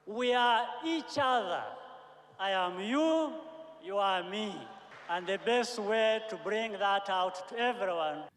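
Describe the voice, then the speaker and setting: A man from Uganda giving a rousing, emphatic speech with dramatic pauses. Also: high voice, expressive, fairly bright tone, a little hiss or hum. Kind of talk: impassioned speech. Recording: echoey, reverberant hall.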